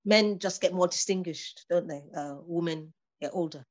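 A woman's voice: lowish voice, normal speed. A woman in her fifties chatting thoughtfully in a casual conversation. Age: 50s. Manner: thoughtful, laughs between points. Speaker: discussion participant.

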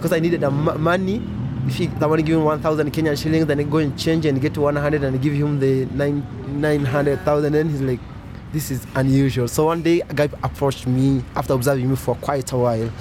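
A man's voice: on the high side, fairly even intonation. Earnest young man, traffic behind. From Congo. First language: French. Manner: slow, earnest, searching for words. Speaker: interview guest. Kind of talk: personal testimony.

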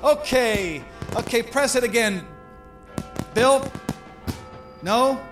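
Flustered, very high-pitched party emcee. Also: male voice, animated, fairly bright tone, soft background music, full character voice. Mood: flustered, placating. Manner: halting, stammering, repetitive. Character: flustered, placating male emcee of a national countdown party. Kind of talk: satirical audio drama acting.

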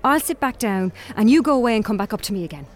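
A woman's voice: a little high, animated, fast, natural voice. An actress playing a wry, guarded young woman on a first date in an audio drama, with dry, teasing delivery. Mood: playful. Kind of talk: audio drama acting, naturalistic dialogue.

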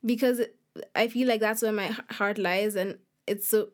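A woman's voice: medium pitch, steady tone, moderate pace. A young woman from Kenya being interviewed as a guest, talking casually and a little hesitantly, often saying "like". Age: young adult. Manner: informal, hesitant, frequent "like". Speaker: podcast guest, young author.

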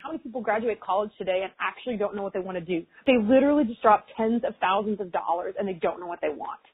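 A woman's voice: medium pitch, fast talker, slightly rough. A woman talking casually and reflectively, with frequent filler words. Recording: tinny phone-line sound.

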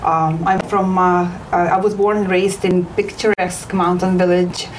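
A woman's voice: fairly low pitch, level tone, moderate pace. A woman from Ukraine with a Ukrainian accent, a physician guest speaking hesitantly with many fillers in a studio interview. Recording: distant mic with faint noise.

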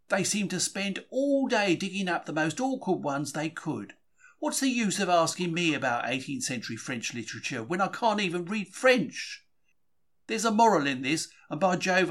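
A man's voice: on the high side, a bit bright. A man reading a first-person diary from a script in a conversational, confiding storytelling manner. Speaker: audiobook-style reader of a diary.

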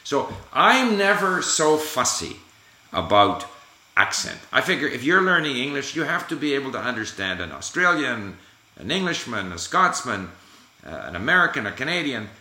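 Bright, lively man in an echoey room. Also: mid-range voice, normal speed. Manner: encouraging, emphatic, repeats key phrases. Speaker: language-learning host. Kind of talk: casual conversation.

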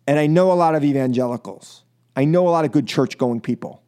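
Man, American accent, slightly high voice, talk radio host, impassioned, exasperated, emphatic repetition, political monologue. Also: fast talker, mellow, smooth. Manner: emphatic, exasperated, repeats phrases for stress. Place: radio studio.

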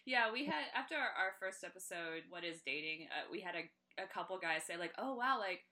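A woman's voice: on the low side, animated, a little fast, sharp tone. A woman in her late twenties or thirties co-hosting, upbeat and laughing often. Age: late 20s to 30s. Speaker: podcast co-host. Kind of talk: casual chat.

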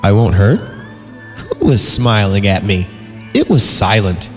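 A man's voice: lowish voice, sing-song, unhurried. A man hosting a horror-story podcast, reading in a mock-dramatic style and given to sarcastic comic asides. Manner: sarcastic, breaking off from narration into giggly asides. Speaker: podcast host reading creepypasta. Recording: reverberant voice over background music. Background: music.

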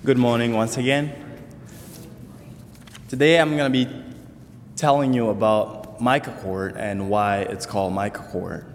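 A man from Congo giving an earnest church talk on scripture as a summer intern, speaking deliberately with some restarts. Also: mid-range voice, steady tone, a little hiss or hum. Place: church sanctuary. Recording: long hall reverb.

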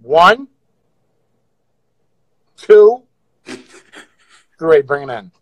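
A man's voice: on the high side, sing-song, extremely slow, lo-fi sound, background noise. A man hosting a casual livestream, teasing and joking crudely with viewers on video calls. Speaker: livestream host.

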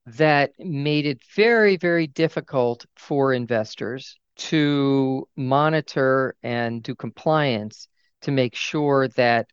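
A man's voice: medium pitch, clean tone, no echo. A man giving emphatic interview remarks, repeating phrases for stress, on a dull lo-fi recording. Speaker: interviewee, housing tax credit expert.

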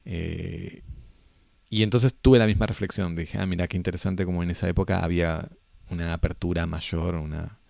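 A man talking casually about film, thinking aloud with many pauses and restarts. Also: deep voice, steady tone, gravelly, darkish tone, no echo, clean studio sound. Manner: thoughtful, halting, many restarts.

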